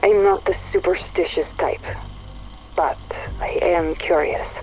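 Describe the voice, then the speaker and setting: A curious but skeptical woman confiding in her private log. Her low voice moves slowly, pausing mid-thought as if quietly troubled. The game recording carries a faint noise. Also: fairly even intonation, low-quality recording. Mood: pensive curiosity. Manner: hesitant, reflective, pausing mid-sentence. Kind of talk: scripted audio log monologue.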